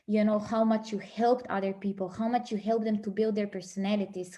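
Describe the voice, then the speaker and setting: A woman giving a motivational speech, earnest, with pauses between phrases. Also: mid-range voice, mellow. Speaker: motivational speaker.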